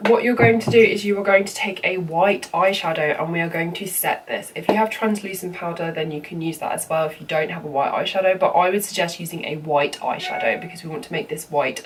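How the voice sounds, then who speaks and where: Stuffy-nosed woman chatting on camera. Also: on the low side. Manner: chatty, frequent ums and you-knows. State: congested, bunged up from a cold. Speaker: makeup vlogger. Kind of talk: casual makeup tutorial. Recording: slightly distant mic with faint room noise.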